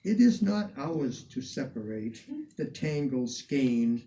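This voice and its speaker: A man reciting old poetry dramatically in a measured, declamatory way, with deliberate phrasing and emphatic swells. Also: mid-range voice, very animated, dark tone. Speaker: reader of poetry, museum curator. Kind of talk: dramatic poetry recitation in the person of a 19th-century poet. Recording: distant microphone with some background noise.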